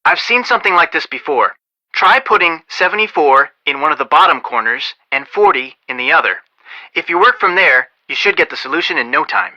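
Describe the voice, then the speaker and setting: An actor playing a calm, helpful young amateur detective in a video game, giving measured, confident instructions, in slightly dull audio. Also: male voice, fairly high pitch. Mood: helpful, patient.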